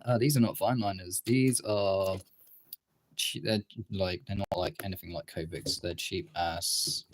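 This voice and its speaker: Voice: deep voice, fairly even intonation, a little slow, a little hiss or hum. A livestream host with a congested, tired voice, chatting casually and informally to viewers while drawing, rambling and swearing now and then.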